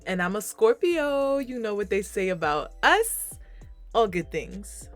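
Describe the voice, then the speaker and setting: A woman's voice: mid-range voice, big pitch swings, measured pace, soft background music. A woman in her twenties from Queens, New York, hosting a casual group podcast in an upbeat, playful way. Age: 20s. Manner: upbeat, playful, leads the talk. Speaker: podcast host.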